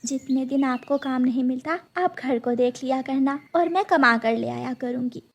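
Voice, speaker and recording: A woman voicing a gentle, dutiful mother bird in a cartoon, speaking in a soft, humble, earnest way. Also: sits high, moderate pace, muffled recording.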